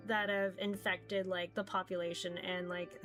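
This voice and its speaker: A woman livestreaming visual novels, chatting casually in a rambling, halting way. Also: on the low side, level tone. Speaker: streamer playing visual novels. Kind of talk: casual livestream chat, reading game text aloud. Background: background music.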